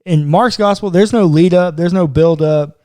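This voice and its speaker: A man's voice: high-pitched, moderate pace, mellow, no echo, studio quality. A man co-hosting a podcast as a pastor, chatting casually about the Bible with informal, slangy asides. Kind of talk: casual conversational Bible discussion.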